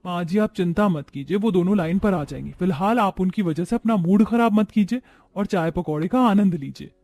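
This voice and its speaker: A man plays a dutiful elder sister-in-law in a family story. She speaks in a high voice, respectful and firm. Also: brisk, darkish tone, soft background music. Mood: soothing.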